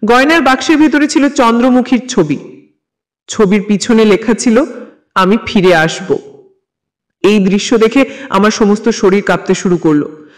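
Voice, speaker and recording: Very high-pitched man narrating horror. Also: moderate pace. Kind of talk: scripted first-person suspense narration.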